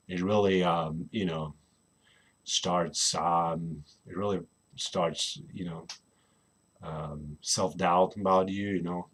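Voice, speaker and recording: Husky low-voiced Slovenian man rambling. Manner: rambling, repeats "you know what I mean". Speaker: vlogger. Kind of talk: casual personal chat to camera. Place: home room in England. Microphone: webcam.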